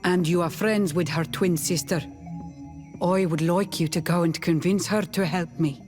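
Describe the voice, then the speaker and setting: A woman playing a proud, warlike clan leader in a video game, with solemn, deliberate, formal delivery. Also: fairly low pitch, fairly even intonation, darkish tone, soft background music, natural voice. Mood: resolute. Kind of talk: video game dialogue acting.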